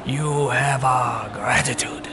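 An actor playing a formal, solemn old crime patriarch in a video game. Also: male voice, mid-range voice, steady tone, slow, natural voice. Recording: noisy background ambience.

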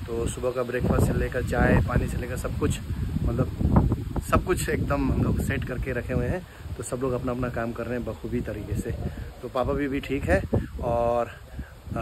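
Man vlogging on his phone, noisy background. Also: mid-range voice. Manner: chatty, addresses viewers directly, asks them to comment. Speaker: family vlogger. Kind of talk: casual vlog narration to viewers. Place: home and outdoor park. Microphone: phone held at arm's length. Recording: phone recording with background noise.

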